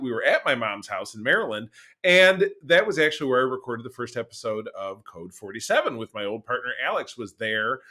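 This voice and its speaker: A man co-hosting a pop-culture podcast in casual, rambling and excitable chat, with some swearing. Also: mid-range voice. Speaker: podcast co-host.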